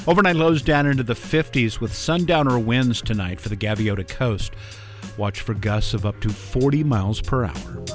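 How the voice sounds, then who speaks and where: A man working as a radio announcer, reading a news promo and weather report in a crisp, brisk way. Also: mid-range voice, music in the background.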